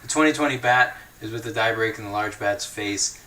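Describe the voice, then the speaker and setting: A young man hosting a casual livestream, chatting and reading comments at an easy pace. His voice sits a little low but sounds very bright and forward. Faint noise sits behind him. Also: a little fast, rough recording. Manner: easygoing, chatty, laughs often, thinks aloud with fillers. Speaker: livestream host.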